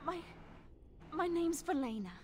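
Fantasy game role: timid young maid, very high, anxious, halting and pleading, noisy audio. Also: female voice, animated. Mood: terrified, pleading. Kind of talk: fantasy video game acting. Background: game ambience.

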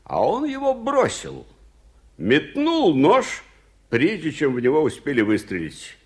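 An actor playing an excitable, proud uncle who is a war veteran, in an old radio play. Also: male voice, fairly high pitch, a little slow. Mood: boastful. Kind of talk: 1980s Soviet radio theatre acting.

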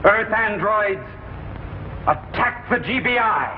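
An actor playing a ruthless villain who commands androids in slow declamatory orders, in a 1950s TV serial. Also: male voice, high voice, expressive, a little hiss or hum. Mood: commanding. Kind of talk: 1950s television serial acting. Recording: tinny old TV film soundtrack, music behind.

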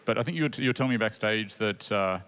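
A man's voice: on the low side, very fast, fairly bright tone, clear, resonant tone. A man in his forties hosting a conference stage panel on a handheld mic, talking in an eager, chatty way with many restarts. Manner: chatty, restarts and fillers, enthusiastic. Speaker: panel host. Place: large conference stage before an audience.

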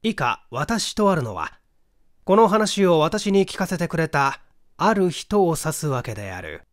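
A man in his thirties reading a literary mystery story aloud in a measured storytelling delivery. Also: fairly high pitch, very animated, dry recording, clean studio sound. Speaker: audiobook reader, stage actor. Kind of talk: literary mystery novel reading, scripted narration.

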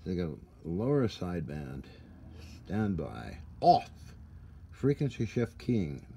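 A man giving a casual, hands-on walkthrough of equipment in a halting, muttering way with folksy asides, recorded on a handheld device in a workshop. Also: low-pitched, huge pitch swings, mellow, breathy edge. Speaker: radio restorer. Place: indoor cluttered workshop. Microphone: handheld camera or phone, behind the lens. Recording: noisy handheld recording with room ambience.

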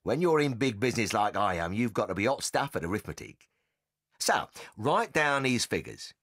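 A man's voice: on the low side, sing-song, normal speed, fairly bright tone, full character voice. An actor playing a cocky, irritable, crooked car-dealer father with an English accent in an audiobook drama, blustering and yelping in colloquial speech. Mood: smug, bossy.

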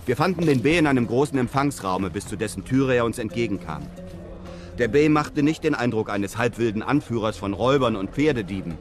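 An actor playing a European traveller who narrates his desert adventures in a measured, literary way. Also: mid-range voice, expressive, faint music behind, lightly acted. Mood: measured storytelling. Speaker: narrator of an audio drama. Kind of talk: scripted first-person adventure narration.

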